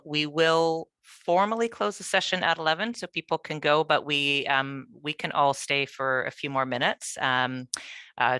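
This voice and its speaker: A webinar moderator making quick housekeeping announcements before the next speaker, with a few ums. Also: medium pitch, pushed and bright, clear, resonant tone, dry recording. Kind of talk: hosting announcements. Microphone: video call.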